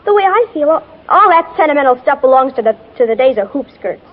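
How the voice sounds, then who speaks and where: A headstrong tomboy of fifteen in an old radio drama. The voice is high and girlish, earnest, slangy and gushing. The recording is muffled and old. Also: sing-song, fast talker. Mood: earnest, defiant. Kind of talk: 1940s radio drama acting.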